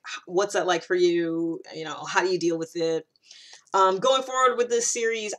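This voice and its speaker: Woman vlogger w a lowish voice, just chatting openly, kinda rambly, says like a lot. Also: fairly bright tone. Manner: rambling and conversational, frequent "like"; candid, self-reflective. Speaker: video host. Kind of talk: casual personal vlog about personality typing.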